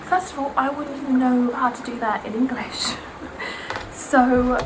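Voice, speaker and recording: A woman's voice: sits high, unhurried, noisy background. Sarcastic young woman chatting, Serbian native. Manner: animated, sarcastic, mimics aunties. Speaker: vlogger. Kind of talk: casual chat while getting ready.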